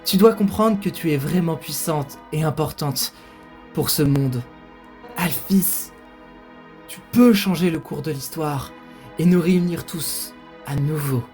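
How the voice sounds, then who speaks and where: A woman's voice: deep voice, a little slow, a little mellow. A woman voicing a fierce, spirited female warrior monster and mentor in an animation fan dub, with emphatic theatrical delivery. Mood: earnest reassurance. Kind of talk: dramatic animation dubbing. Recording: music behind the voice.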